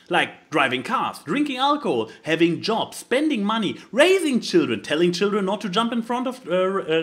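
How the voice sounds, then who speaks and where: Male podcast co-host, slightly high voice, very animated swings, sarcastic and mock-dramatic. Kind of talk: casual comedic commentary, reading posts aloud.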